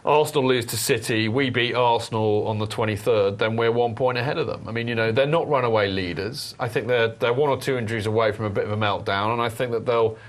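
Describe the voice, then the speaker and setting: A man hosting a casual football podcast, animated and rambling, with many restarts. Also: mid-range voice, quick pace, hardly any pauses. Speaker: podcast host.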